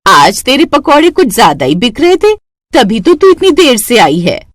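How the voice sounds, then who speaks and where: A woman's voice: fairly high pitch, big pitch swings, moderate pace, no room sound, studio quality. A woman voicing a caring older neighbour aunt in a cartoon story, motherly and fretful. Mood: caring concern.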